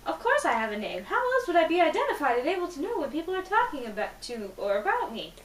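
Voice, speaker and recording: A woman's voice: high voice, sing-song, fast, voice pushed up. A woman voicing a heartbroken but witty young heroine in a romance story, girlish and slightly pert. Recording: distant mic, roomy echo, faint noise.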